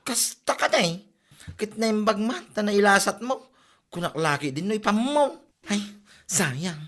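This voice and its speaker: A woman's voice: medium pitch, expressive, unhurried, a bit bright. A woman acting an exclamatory Ilocano gossip. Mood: startled, teasing. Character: exclamatory, teasing gossipy village neighbour woman. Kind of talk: comedy drama podcast acting.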